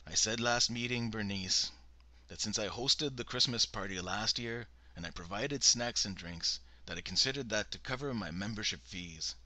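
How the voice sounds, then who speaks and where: Indignant petty man voiced by a man, defensive, deep bright tone. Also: normal speed, dry recording. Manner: peevish, defensive. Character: indignant petty male cult member.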